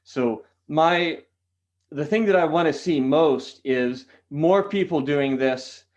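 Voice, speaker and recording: A man's voice: on the high side, takes it slowly, darkish tone, dull audio. A man from San Diego, a qigong teacher and podcast guest, explaining his practice earnestly and often restarting his phrases. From San Diego, California. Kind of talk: podcast interview answers.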